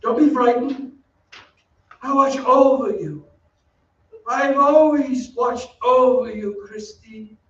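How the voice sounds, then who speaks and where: An actor playing a masked, lovesick, possessive phantom in an old-time radio drama read on stage. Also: male voice, extremely high pitch, takes it slowly, long pauses, a bit bright, distant mic, exaggerated voice. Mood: menacing tenderness. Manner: cajoling, possessive, theatrical. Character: masked, obsessive, lovesick phantom luring a young singer. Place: theatre stage, away from the mic. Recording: dull, noisy, roomy stage pickup from afar.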